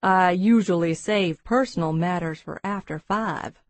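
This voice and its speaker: A woman voicing an easygoing, wryly amused Texan businessman with a drawling baritone in an audiobook. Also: a little slow, no room sound, natural voice. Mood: easygoing, offhand. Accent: Texas. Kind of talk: audiobook character dialogue.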